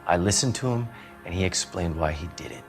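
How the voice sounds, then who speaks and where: A man's voice: low voice, animated, a little fast, vocal fry, faint music behind. An American man voicing a smug, evasive, cynical writer under police questioning in a crime game, with a sardonic, articulate manner. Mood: calculating. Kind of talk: video game voice acting, 1940s crime drama.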